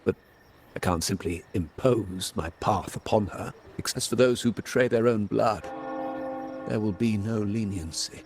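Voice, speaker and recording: An actor playing a stern old family patriarch in a video game, measured and solemn, with a gravelly voice. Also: male voice, fairly low pitch. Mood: grave, resolute. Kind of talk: dramatic video game voice acting. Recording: noisy, night insect ambience behind.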